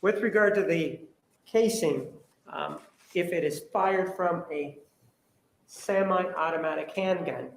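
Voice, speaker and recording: A man prosecuting a case, asking a witness formal questions with occasional pauses and fillers. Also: on the high side, creaky. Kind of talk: courtroom questioning. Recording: roomy courtroom audio.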